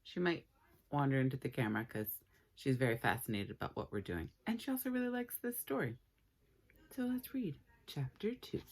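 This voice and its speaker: A woman reading a story aloud for children in an expressive, playful way with dramatic pauses. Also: a bass voice, a little hiss or hum.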